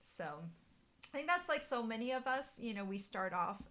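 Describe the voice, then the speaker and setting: A middle-aged woman, German her first language, chatting to viewers in a casual live stream from a bright living room, with many ums and restarts. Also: fairly high pitch, expressive, moderate pace. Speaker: papercraft demonstrator hosting a live stream.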